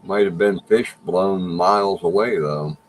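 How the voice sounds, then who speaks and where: A man with a very deep, low voice explains things in a plain, matter-of-fact way while co-hosting a casual livestream chat. A faint hiss runs behind him. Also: measured pace. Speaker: livestream co-host.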